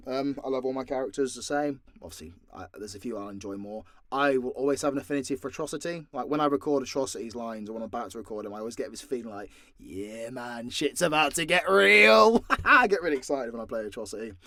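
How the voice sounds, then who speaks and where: A man's voice: medium pitch, fairly bright tone, dry recording, clean studio sound. A British man answering fan questions in a casual, unscripted chat, blunt and informal with plenty of swearing. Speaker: voice actor and channel creator answering fan questions.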